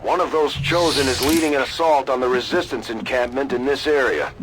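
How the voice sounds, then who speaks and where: An actor playing a serious, dutiful military officer giving a formal, urgent briefing in a video game. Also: male voice, medium pitch, fairly quick, a bit bright, soft background music, natural voice, poor audio.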